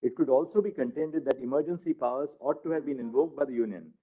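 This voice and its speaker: Dark, husky man reading formally. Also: mid-range voice, fast talker, low-quality recording. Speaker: narrator reading a court judgment. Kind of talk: formal read-aloud of a legal text.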